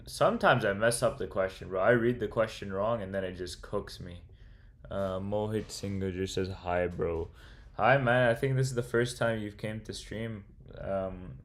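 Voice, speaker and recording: A young man with a low voice and a gravelly, creaky edge, talking in an easygoing, slangy way. He thinks aloud through a coding problem and reads out viewer comments as a casual livestreamer. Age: young adult. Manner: laid-back, slangy, calls viewers bro, thinks aloud. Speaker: streamer and computer science student.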